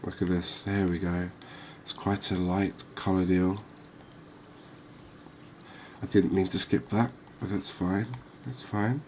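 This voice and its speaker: A man doing soft-spoken ASMR game commentary in an unhurried, calming, reassuring manner. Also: low-pitched, a little hiss or hum. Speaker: host of a cozy gaming channel.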